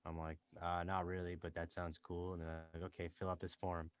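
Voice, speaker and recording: A young man, an entrepreneur and rapper born in Dubai and raised in Canada, chatting casually as a podcast guest from a small closet home studio, relaxed and full of fillers. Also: sits low, fairly quick, smooth. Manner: relaxed, chatty, many fillers and self-quoting. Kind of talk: casual interview chat.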